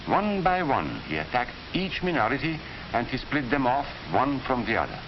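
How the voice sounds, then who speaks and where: Earnest deep-voiced professor. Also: male voice, background noise, exaggerated voice. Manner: earnest, deliberate, didactic. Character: Hungarian-born former professor warning against Nazi hatred. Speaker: narrator recounting his past. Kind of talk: 1940s educational film acting. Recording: dull 1940s film soundtrack, music behind.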